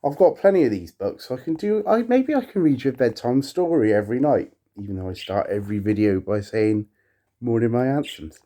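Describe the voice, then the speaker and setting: A man's voice: medium pitch, lively intonation, dark tone. A man giving a theatrical poetry reading, with wry, playful and self-mocking chatty delivery as he hosts his own video. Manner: slow, dramatic delivery of verse. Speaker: video creator and host reading poetry aloud.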